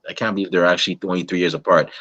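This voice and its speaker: A man from Guyana interviewing a guest in a casual, halting way, with many restarts and "you know". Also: low voice, fairly even intonation, fairly bright tone. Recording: dull lo-fi sound.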